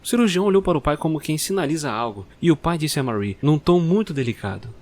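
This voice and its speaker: A man narrating a dark Christmas fairy tale slowly and dramatically. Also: fairly high pitch, expressive. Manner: slow, dramatic storytelling. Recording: clear music bed under the voice.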